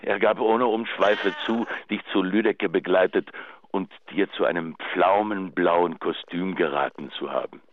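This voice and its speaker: An actor playing a betrayed, bitter husband leaving emotional, halting phone messages in a German radio drama. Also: male voice, deep voice. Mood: wounded, wistful. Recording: dull answering-machine sound, faint noise.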